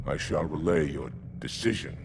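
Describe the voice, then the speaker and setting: An actor playing a proud, stern alien warrior officer in a video game, gravelly and speaking with formal restraint. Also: male voice, moderate pace, noisy background. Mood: restrained indignation. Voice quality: very deep, gravelly. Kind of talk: cinematic video game acting. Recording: music behind voice.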